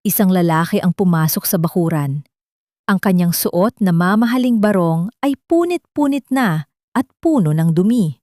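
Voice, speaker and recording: A woman's voice: on the low side, lively intonation, normal speed, dark tone, no room sound, clean studio sound. A woman narrating a dramatic audio love story as scripted storytelling.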